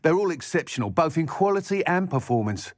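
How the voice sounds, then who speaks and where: A man's voice: a little high, very animated, fairly quick, no room sound, clean studio sound. A man playing a chatty, eager shopkeeper selling magic brooms in a video game. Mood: eager salesman. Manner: salesmanlike, talkative. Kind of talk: video game character dialogue.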